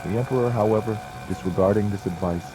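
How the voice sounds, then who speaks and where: A man narrating a scripted historical anecdote for an audiobook in a formal, measured style. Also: on the low side, poor audio. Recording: music and rain behind voice. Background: music and rain sounds.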